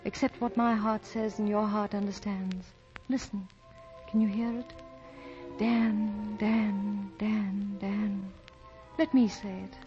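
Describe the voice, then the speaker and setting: A woman's voice: mid-range voice, level tone, takes it slowly, dark tone, faint music behind, lightly acted. An actress playing a wistful, romantic woman in love in a 1940s radio drama. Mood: adoring. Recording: muffled vintage radio broadcast.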